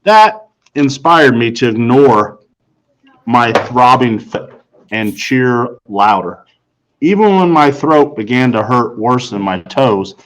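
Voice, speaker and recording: A man reads a scripted story aloud in the first person. His voice sits at a middle pitch, and he delivers formal wording with precision. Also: lively intonation, slow pace, slight background noise, poor audio. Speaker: audiobook reader.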